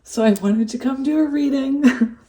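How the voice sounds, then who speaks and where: A woman's voice: sits high, moderate pace, warm tone. A woman with a cold who has nearly lost her voice, casually chatting through a tarot reading and laughing between thoughts. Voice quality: hoarse. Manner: chatty, laughs often, trails off with fillers. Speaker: tarot reader.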